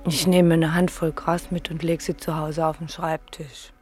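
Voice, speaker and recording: An actress playing a dreamy, exact night watchwoman who murmurs haltingly to herself in a radio play. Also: low voice, little pitch movement, a little mellow, soft background music, natural voice. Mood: musing. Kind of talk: German radio play acting.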